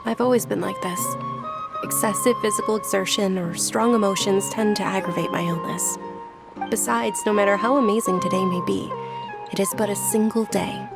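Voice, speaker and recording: Woman playing a gentle, creaky-voiced, frail young lady. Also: medium pitch, fairly even intonation, normal speed, natural voice. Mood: resigned, melancholy. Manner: gentle, subdued. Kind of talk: video game voice acting. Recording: background music.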